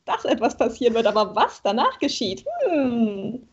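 A woman's voice: a little high, expressive, moderate pace, breathy edge. A woman in her thirties explaining something casually on a video call, with slightly dull sound. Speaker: stream guest and co-writer. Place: room at home, video call.

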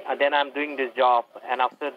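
A man's voice: mid-range voice, little pitch movement, fairly quick. A man calling as a fake tech-support agent, hesitant and full of fillers, over a phone line. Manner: hesitant, many fillers, asks for repeats. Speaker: scam caller. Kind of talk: scripted tech-support pitch.

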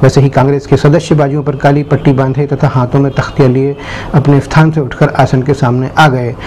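A man presenting a scripted news bulletin in a formal, measured announcing manner. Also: mid-range voice, flat delivery, a little mellow, a little hiss or hum, poor audio.

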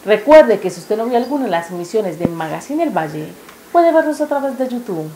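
A woman's voice: on the high side, sing-song, brisk. A young Colombian woman presenting a scripted local television announcement. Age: young adult. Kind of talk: scripted TV sign-off. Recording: echoey room with clear noise.

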